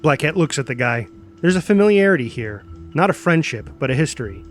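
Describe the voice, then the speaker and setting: A man's voice: fairly high pitch, big pitch swings, a little fast, soft background music. A man reading scripted action narration as the narrator.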